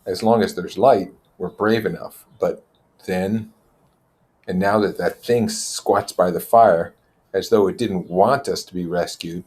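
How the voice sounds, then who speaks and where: A man's voice: sits low, steady tone, measured pace, darkish tone. A man as an earnest, troubled schoolboy chief. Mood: fearful earnest. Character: Ralph, troubled, bitter, determined schoolboy chief. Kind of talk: storybook character voice.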